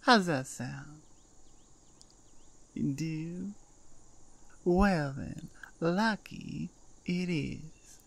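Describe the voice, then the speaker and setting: Woman doing a soft southern cowgirl. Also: deep voice, creaky, full character voice. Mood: soothing. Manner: soft, slow, soothing drawl. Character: gentle southern cowgirl sheep farmer, cow-woman. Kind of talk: ASMR roleplay.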